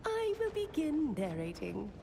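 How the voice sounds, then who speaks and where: Very animated, theatrical sorceress aunt. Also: female voice, high voice, a little slow, gravelly, noisy background, full character voice. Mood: grand storytelling. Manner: theatrical, teasing. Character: playful, theatrical motherly sorceress aunt who narrates fairy tales. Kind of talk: anime game voice acting.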